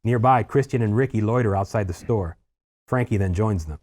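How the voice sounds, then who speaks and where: A man with a fairly low voice narrates screenplay stage directions. He reads scripted action lines at a table read. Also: fairly quick, darkish tone.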